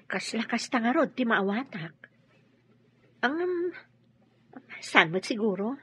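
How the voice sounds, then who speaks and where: A woman's voice: fairly high pitch, big pitch swings, slow pace, sharp tone, slightly rough, full character voice. A woman playing a playful, curious young unmarried lady boss in a radio drama. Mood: curious, puzzled. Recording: dull lo-fi radio sound.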